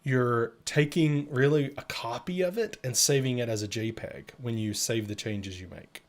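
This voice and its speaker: A man with an average-pitched voice co-hosts a live tech show. He talks in a casual, conversational style, addressing his audience directly as he explains updates. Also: moderate pace.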